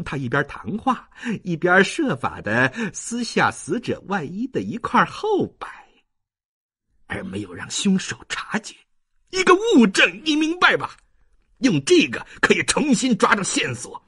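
A man acts out a sly male scoundrel from an audiobook, peddling secrets. His voice is very rough and husky, swooping widely in pitch as he wheedles and insinuates in a halting, persuasive way. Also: huge pitch swings, sharp tone, full character voice. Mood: sly, insinuating. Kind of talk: audiobook character acting.

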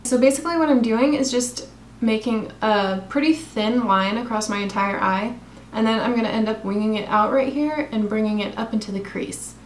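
A young woman, a makeup vlogger, chatting casually through a tutorial in her apartment, her voice a bit raspy, talking while she works. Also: medium pitch, echoey room, slight background noise. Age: young adult. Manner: chatty, self-deprecating, talks while applying makeup. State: slightly raspy voice. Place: small room in her apartment. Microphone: camera close in front of face.

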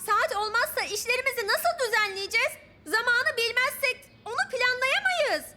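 Worried, practical cartoon kid: extremely high, animated, insistent, with music behind. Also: female voice, normal speed. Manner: insistent, questioning. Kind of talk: children's cartoon voice acting. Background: cartoon background music with jingles.

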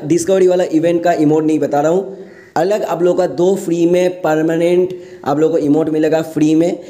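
A man's voice: fairly high pitch, mellow. A young man with an Indian accent giving a casual gaming explainer as a host, repeating himself and mixing in English words.

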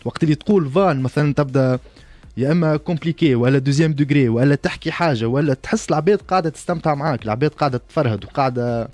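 A man's voice: fairly high pitch, very fast, a little mellow, no room sound, soft background music. A man with a Tunisian accent chatting as a comedian guest, repeating phrases for emphasis and mixing in French. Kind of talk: casual interview chat. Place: radio studio. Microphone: close studio microphone on arm.

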